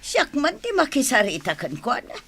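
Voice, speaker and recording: A woman's voice: sits high, huge pitch swings, normal speed, fairly bright tone, slight background noise, full character voice. An actress with an Ilocano accent playing a stern aunt who scolds her quarreling nephews in a radio drama. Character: scolding aunt of two brothers.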